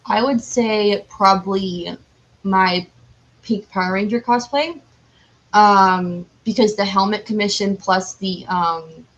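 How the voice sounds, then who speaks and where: A woman chatting casually as an interview guest, talking in an animated, chatty way with "like" sprinkled through. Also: medium pitch, slow, highs cut off. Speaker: podcast guest, cosplayer.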